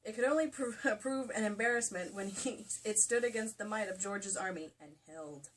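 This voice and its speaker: A woman's voice: mid-range voice, moderate pace. A woman doing a comedic dramatic reading of a novel as host, giggly and theatrical, with mock-dramatic emphasis. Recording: distant mic, clearly noisy room sound.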